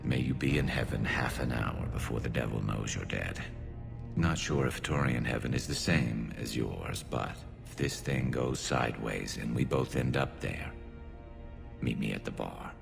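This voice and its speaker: Super deep crackly alien soldier, wry and loyal, video game cutscene, flangey voice, music behind. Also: male voice, normal speed, full character voice. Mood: wry, tender. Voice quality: gravelly, flanged alien rasp. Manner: dry, wry humour. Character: battle-hardened male Turian alien soldier, wry and devoted. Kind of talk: cinematic video game voice acting. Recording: reverberant game audio under loud music.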